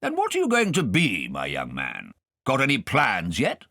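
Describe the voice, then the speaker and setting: A male narrator voicing a stout, pompous, blustering gentleman guardian who fires off questions in an audiobook. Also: medium pitch, wildly swooping pitch, moderate pace, no echo, studio quality, voice pushed up, full character voice. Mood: patronizing. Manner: pompous, quizzing with "eh?".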